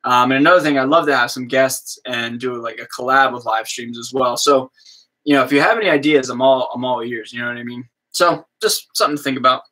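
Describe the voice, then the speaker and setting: A young man from the US East Coast with a slow drawl, chatting casually with viewers on his livestream and padding his talk with fillers. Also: medium pitch, pushed and bright, low-quality recording. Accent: American East Coast. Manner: slow drawl, lots of fillers like "you know what I mean". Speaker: livestream host, musician.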